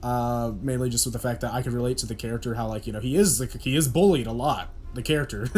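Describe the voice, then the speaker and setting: Casting note: young man, deep voice, rambling self-deprecating podcast chat, mid pitch. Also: fast talker, darkish tone, a little hiss or hum. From Oklahoma. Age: young adult.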